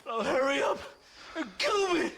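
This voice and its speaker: Frantic, shrill, gravelly captive. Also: male voice, expressive, measured pace, noisy background, heavily acted. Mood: desperate, pleading. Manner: frantic, pleading. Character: desperate, pleading male captive in a Western. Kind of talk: video game cutscene acting.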